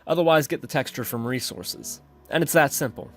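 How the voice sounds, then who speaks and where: A man's voice: mid-range voice, a little fast, a bit bright. Man narrating a coding tutorial over music. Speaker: tutorial host. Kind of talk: instructional screencast narration.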